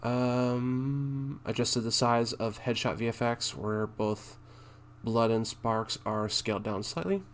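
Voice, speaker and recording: A man doing casual commentary as he reads game notes aloud, halting and thinking out loud, with a blunt streak. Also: mid-range voice. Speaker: gaming content creator and streamer.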